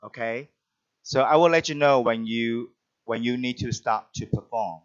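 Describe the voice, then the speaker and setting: A man hosting an online performance event over a video call, talking in a halting way with many restarts. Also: mid-range voice, lo-fi sound. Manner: halting, with frequent restarts and fillers. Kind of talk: live-stream hosting, introducing guests.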